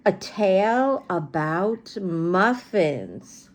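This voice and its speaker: A woman voicing a grandmotherly whiskered animal who tells her tale in a deliberate, drawn-out way, in a storybook reading. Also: medium pitch, sing-song, pitched below the performer's own voice. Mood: savoring, deliberate.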